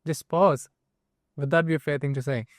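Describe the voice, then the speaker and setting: A man's voice: a little high, fairly even intonation, normal speed, no echo, clean studio sound. A young man from India, a native Hindi speaker, hosting a casual podcast chat in an earnest way and often asking his guest for agreement. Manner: earnest, asks for agreement, many fillers. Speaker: podcast host.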